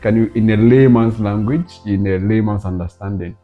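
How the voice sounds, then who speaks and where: A man's voice: fairly low pitch, moderate pace, narrow, tinny audio, faint music behind. A man interviewing a professor, asking long, rephrased questions and giving frequent encouraging responses.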